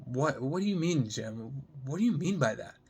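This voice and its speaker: A man's voice: medium pitch, animated, normal speed, a little mellow, breathy edge. A man acts out a lovesick young man in a romantic drama. He is earnest and exasperated, pleading with the one he loves. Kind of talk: dramatic screenplay reading.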